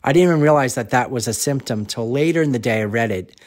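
A man describing his recent illness in a halting, earnest interview clip, still getting over a cough and head cold. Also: mid-range voice. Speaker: interviewee, recovered patient.

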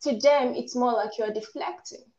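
A woman's voice: a little high, normal speed, darkish tone. A woman, speaking as a panelist in a live online discussion. She is direct and opinionated and says her piece plainly. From Nigeria. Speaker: guest panelist. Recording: dull lo-fi stream audio.

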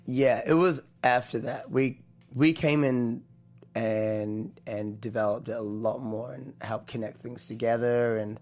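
A man's voice: medium pitch, measured pace. Kiwi guy telling stories in an interview, lots of laughing and restarts. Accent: New Zealand. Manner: animated storyteller, laughs often, many restarts. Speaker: choreographer guest. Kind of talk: casual interview chat.

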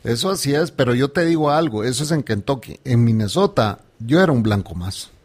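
A man's voice: mid-range voice, fairly quick. Guatemalan man, swearing casual chat. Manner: animated, swears freely, mixes in English. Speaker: podcast co-host.

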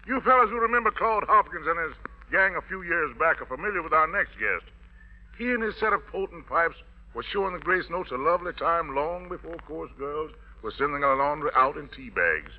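A man's voice: fairly high pitch, expressive, fairly quick. A man hosting a 1940s radio variety show as master of ceremonies, announcing with florid, jive-talking showmanship. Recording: dull lo-fi vintage radio broadcast.

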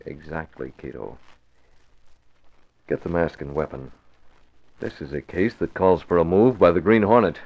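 An actor playing a composed, authoritative newspaper publisher with a measured, decisive manner, in 1940s radio style. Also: male voice, low-pitched, gravelly. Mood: grave, resolute. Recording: muffled vintage 1940s radio recording.

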